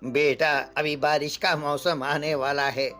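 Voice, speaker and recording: A man's voice: fairly high pitch, little pitch movement, slow, sharp tone, faint music behind. A man playing a troubled orphanage resident in a story, plaintive and worried.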